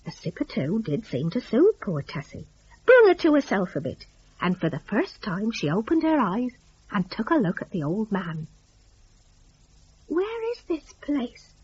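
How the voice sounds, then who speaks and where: Animated, husky woman storyteller. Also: medium pitch, moderate pace, lo-fi sound. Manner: folksy, conversational phrasing. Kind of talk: dramatic storytelling in colloquial rustic idiom.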